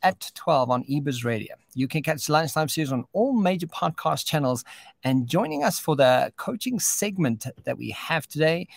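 A man's voice: on the high side, creaky, no room sound. A man hosting a radio interview, stopping often with filler sounds as he talks. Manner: halting, many filler sounds. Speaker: radio show host.

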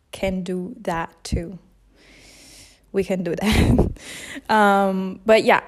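A young woman, hosting a casual unscripted podcast from her couch, chatty and self-deprecating, recorded without a proper mic. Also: mid-range voice. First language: Italian. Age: early 20s. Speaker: podcast host. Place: at home on a couch.

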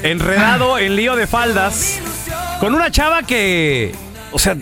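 A man hosts a radio chat, teasing and slangy. His voice sits high and swoops a lot. Faint music runs under the talk. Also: big pitch swings, a little slow. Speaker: radio show host.

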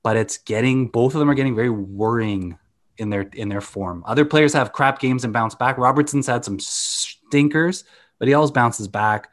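A man's voice: medium pitch, normal speed. A man co-hosting a casual sports chat, blunt and opinionated, swearing casually. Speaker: podcast co-host.

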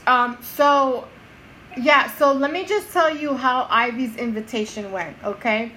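A woman's voice: sits high, a little slow, bright, forceful tone, a little hiss or hum, rough recording. A woman co-hosting a podcast, telling a story in casual chat with friends and laughing often. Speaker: podcast co-host and notary.

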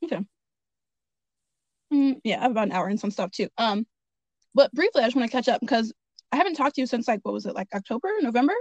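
A bubbly woman host speaks a touch high, chatty and quick to laugh over a remote call. Her nose sounds a little congested, and the audio is dry and close with no room around it. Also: animated, a little mellow, dry recording, dull audio. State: slightly congested. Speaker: podcast host. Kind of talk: reading an introduction, then casual chat.

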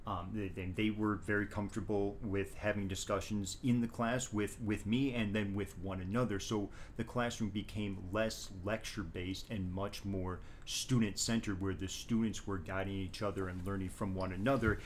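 Thoughtful man, slightly low voice. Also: steady tone, slight background noise. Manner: measured, thoughtful, with frequent restarts. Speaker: teacher. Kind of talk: interview conversation.

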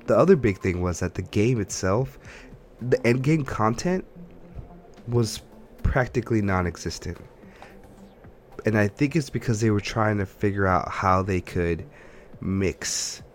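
Male podcast co-host, lowish register, loose rambling conversational delivery, slight background noise. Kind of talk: casual chat about games and films.

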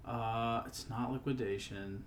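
A man with a southern US accent co-hosting a laid-back podcast, his voice sitting in an ordinary middle pitch. He banters playfully, dropping into low mumbles and then bursting out loud. A faint hiss runs under the recording. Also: fairly even intonation, measured pace. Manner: playful, jumps between mumbling and loud exclaiming. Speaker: podcast co-host. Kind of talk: casual chat.

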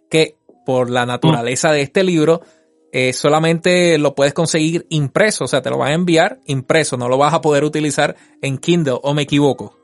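A man hosting a podcast, asking friendly questions and chiming in with short agreements. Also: fairly high pitch. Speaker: podcast host. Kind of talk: casual interview questions.

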